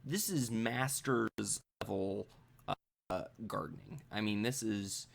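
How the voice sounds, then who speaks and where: A man's voice: fairly low pitch, takes it slowly, creaky. A man running a tabletop role-playing game, improvising scene descriptions in a casual, hesitant, explanatory way. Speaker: game master.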